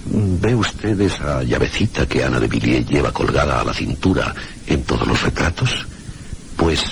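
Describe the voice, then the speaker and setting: An actor playing a learned, cautious village schoolmaster and chronicler in a radio mystery, gravelly, formal and hesitant. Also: male voice, rumbling low, slight background noise, exaggerated voice. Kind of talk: Spanish radio mystery drama acting.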